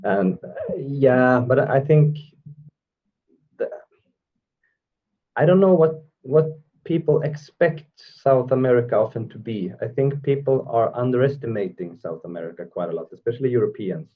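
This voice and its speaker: A man, a guest talking in a casual interview, halting and thoughtful, often restarting his phrases. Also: mid-range voice, narrow, tinny audio. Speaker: guest musician. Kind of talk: casual video call interview.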